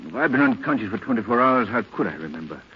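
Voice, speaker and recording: An actor playing a brisk, imperious gentleman detective hero in an old radio thriller. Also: on the low side, very animated, husky, heavily acted. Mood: groggy, puzzled. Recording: hissy, muffled old radio recording.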